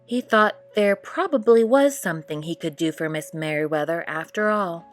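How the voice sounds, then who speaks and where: A woman with a fairly low voice reads a children's picture book aloud. Her narration is expressive, made for storytime. Faint music plays behind her. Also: moderate pace. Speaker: storytime read-aloud host.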